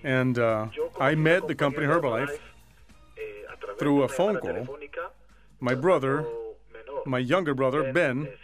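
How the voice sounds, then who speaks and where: A man's voice: on the high side, low-quality recording. A man from Israel with an Israeli accent, a guest telling his personal business story over the phone. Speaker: guest speaker.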